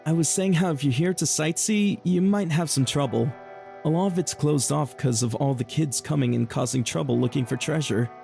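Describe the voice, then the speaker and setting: Easygoing, gravelly store clerk. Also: male voice, a little high, normal speed, a little mellow, faint music behind. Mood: relaxed, apologetic. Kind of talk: visual novel voice acting.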